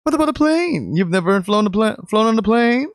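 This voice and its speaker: A man doing a bubbly, excitable big young guy. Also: high-pitched, brisk, no echo, clean studio sound, voice pushed up. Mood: surprised. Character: cheerful big young man, eager tour guide.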